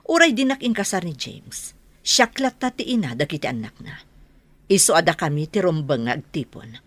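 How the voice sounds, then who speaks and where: Scheming, possessive wife acted by a woman, Ilocano Filipino accent, swooping delivery, fairly low, for radio drama. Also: very animated, moderate pace, no room sound. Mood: assertive. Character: possessive estranged wife and mother, determined to reclaim husband.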